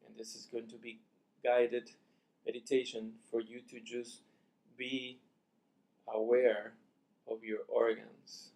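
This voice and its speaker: A man leading a guided meditation, speaking softly and deliberately in short phrases with long pauses, as a teacher giving calm instructions. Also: mid-range voice.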